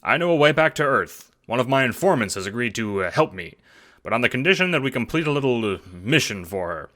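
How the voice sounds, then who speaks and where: A brash gangster schemer for an audio drama. He talks in cocky, jovial old-time wiseguy fashion, with a bright, punchy edge. He sounds sure of himself and out for his own gain. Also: mid-range voice, sing-song, quick pace. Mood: conspiratorial. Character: scheming, brash, egotistical male gangster adventurer.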